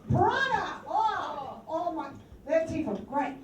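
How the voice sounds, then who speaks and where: A woman's voice: very high-pitched, sing-song, slow, full character voice. A woman playing a cheerful female tooth fairy for animals, improvising in a rambling way full of fillers at a live comedy show. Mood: playful. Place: live venue with audience. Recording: echoey room, far mic, loud crowd.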